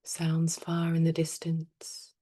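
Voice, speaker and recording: A woman meditation teacher with a low, mellow voice leads a guided practice. Her delivery is soft and soothing, staying very even in pitch, with long, unhurried pauses between instructions.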